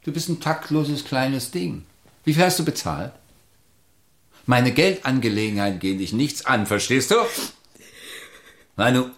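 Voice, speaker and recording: A man's voice: medium pitch, lively intonation, unhurried, pauses often, a bit bright. An actor playing a carefree, charming bohemian writer in a radio drama, coaxing his lover and easily piqued. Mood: indignant. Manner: coaxing, pet-naming, theatrical. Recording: music behind.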